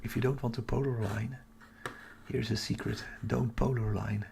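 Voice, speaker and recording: Guy doing a casual livestream, half whispering, kinda croaky, noise in the background. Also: fairly even intonation, normal speed, a little mellow. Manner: keeping voice low for sleeping household. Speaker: astronomy livestream host. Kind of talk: casual live chat with viewers.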